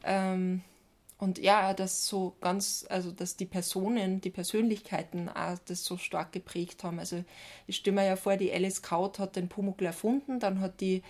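A woman with a middle-pitched voice chats informally as a podcast co-host. She follows attentively, putting in brief questions and acknowledgements and adding her own thoughts in everyday language. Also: steady tone, normal speed, darkish tone. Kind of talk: casual podcast chat.

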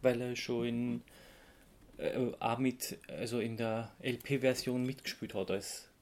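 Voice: medium pitch, fairly even intonation, takes it slowly, a little mellow. A podcast host chatting casually in a jokey way, often ready to laugh. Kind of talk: casual chat.

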